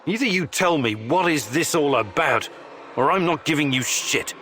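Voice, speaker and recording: A man's voice: fairly high pitch, animated, normal speed, bright, forceful tone, a little hiss or hum. An actor playing a tough, blunt, skeptical male protagonist in a video game, confrontational and sardonic. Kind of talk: video game dialogue acting.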